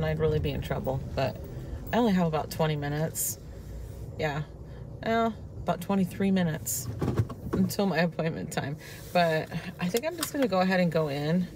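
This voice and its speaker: Chatty woman vlogging from her car. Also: sits low. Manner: chatty, laughs often, rambling asides. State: stuffy from a cold. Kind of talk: casual chatty vlog. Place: inside a parked and moving car. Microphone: phone camera on the dashboard. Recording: car noise audible behind voice.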